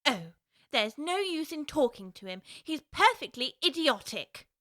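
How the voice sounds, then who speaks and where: An actress playing a polite, curious young girl who speaks earnestly, timid yet quick to object. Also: high voice, very animated, bright, forceful tone, no echo, studio quality, heavily acted. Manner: earnest, timid yet indignant, well-spoken. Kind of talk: audiobook drama acting.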